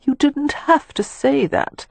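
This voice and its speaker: A woman voicing a stubborn, frightened young woman who speaks high, slow and breathless. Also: creaky, darkish tone, dry recording, full character voice. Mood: hurt.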